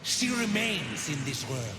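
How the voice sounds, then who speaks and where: A man's voice: a little high, sing-song, measured pace, background noise. Theatrical undead young king, echoing. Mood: brooding, mournful. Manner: theatrical, commanding, melodramatic. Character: grief-mad undead young king, imperious, lovesick, obsessive. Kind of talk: video game character voice lines. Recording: echoing reverb over loud music.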